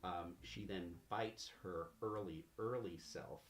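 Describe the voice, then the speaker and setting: A man improvising narration as game master of a tabletop game, in a halting, drawn-out way with pauses. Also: low voice. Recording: faint background noise.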